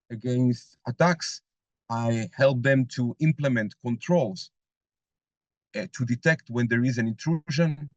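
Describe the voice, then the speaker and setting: Male webinar instructor, mid-pitched, halting delivery, dry sound. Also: no echo. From Argentina. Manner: hesitant, many filler sounds. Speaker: lead instructor. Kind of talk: webinar presentation.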